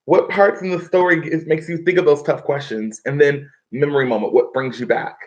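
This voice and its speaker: A man's voice: fairly high pitch, steady tone, a little fast, a little mellow. A man teaching a live online reading lesson from his living room, speaking instructively and often checking in with his pupils. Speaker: schoolteacher. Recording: dull, lo-fi livestream audio.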